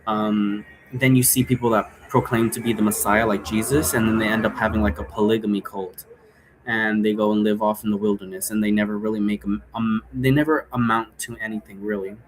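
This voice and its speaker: A man's voice: fairly low pitch, level tone, brisk, poor audio. A man casually explaining his role-play game in a rambling way, often checking for agreement with tag questions. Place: indoor room.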